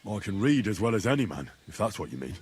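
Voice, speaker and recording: An actor playing an earnest, polite young commoner hero in a medieval game. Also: male voice, fairly low pitch, big pitch swings, quick pace, a little mellow, breathy edge.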